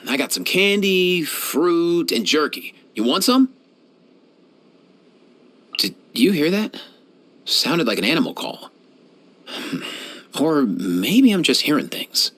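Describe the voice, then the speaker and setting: A man's voice: a little high, fairly bright tone, exaggerated voice. A man voicing a young, laid-back and caring animal doctor for a fantasy video game, speaking in a friendly, casual way. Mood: relaxed, friendly. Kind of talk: scripted video game character voice lines.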